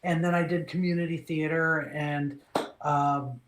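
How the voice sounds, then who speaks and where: Middle-aged voice actor guy from minnesota telling stories, animated, lots of restarts, echoey room. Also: a little high, measured pace. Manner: animated, restarts phrases, acts out quoted lines. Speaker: voice actor, interview guest. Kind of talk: relaxed storytelling.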